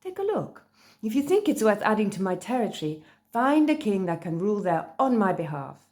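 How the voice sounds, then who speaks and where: A woman's voice: medium pitch, sing-song, brisk, a little mellow, natural voice. A woman voicing a mysterious, insistent male vampire emissary with a put-on Eastern European accent and a grandiose, commanding manner, in a storybook reading. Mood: chattier, persuasive. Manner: grandiose, commanding, clipped grammar.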